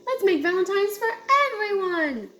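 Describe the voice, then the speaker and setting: A woman's voice: very high voice, expressive, moderate pace, voice pushed up. A woman voicing a cheerful, encouraging young female cat friend in a storybook, with an insistent manner. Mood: excited.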